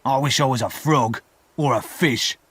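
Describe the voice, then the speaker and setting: A man's voice: mid-range voice, moderate pace, a bit bright, breathy edge, no echo. A man voicing a cold, shivering stranger who talks wearily and glumly.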